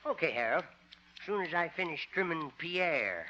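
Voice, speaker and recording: A man's voice: on the high side, expressive, moderate pace, bright, forceful tone. An actor playing a wisecracking small-town veterinarian who teases and laughs at his own gags, in 1950s radio sitcom style. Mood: teasing. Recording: muffled hissy 1950 radio transcription.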